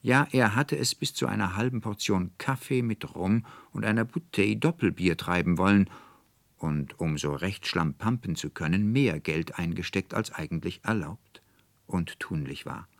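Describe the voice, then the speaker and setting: A man narrating a literary audiobook as an expressive, measured storyteller, recorded in a studio. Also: deep voice, creaky, no echo. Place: dry studio.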